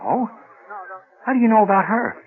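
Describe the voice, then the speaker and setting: An actor playing an earnest, clean-living political candidate pained by headaches, speaking hesitantly with a sincere stammer in a radio drama. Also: male voice, sits high, sing-song, normal speed. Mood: hesitant, polite. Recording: muffled lo-fi radio recording.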